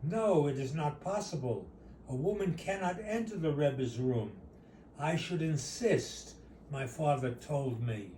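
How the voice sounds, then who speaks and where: A man reading his own short story aloud as its author, in a measured, deliberate storytelling manner. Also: a little high, expressive, warm tone. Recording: roomy, distant mic, faint noise.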